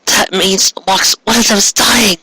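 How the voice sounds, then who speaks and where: A man's voice: high-pitched, steady tone, a little slow, pushed and bright, husky, no echo, pitched above the performer's own voice, heavily acted. A man voicing an anxious, grieving young heroine from a fan fiction in a dismayed, melodramatic way.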